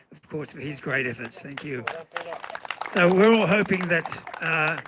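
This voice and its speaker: An Australian man acting as MC at an outdoor rally, introducing speakers haltingly with many fillers. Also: a little high, pushed and bright, slight background noise, poor audio. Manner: halting, lots of uhs.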